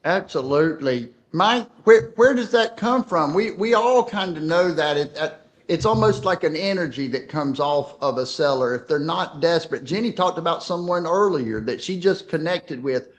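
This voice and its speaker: Upbeat male host, higher voice. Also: animated, normal speed, hardly any pauses. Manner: upbeat, affirming, asks open questions. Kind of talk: casual talk show hosting.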